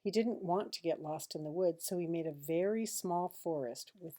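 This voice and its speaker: Mellow-voiced woman reading a storybook aloud. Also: lowish voice. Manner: expressive, dramatic pauses and hushed asides. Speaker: teacher reading a picture book aloud. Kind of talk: children's storybook read-aloud with asides.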